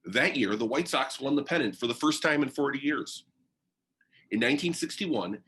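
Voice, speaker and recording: A man's voice: lowish voice, a bit bright. A man reading a biography aloud as narration, in the style of a book for young listeners.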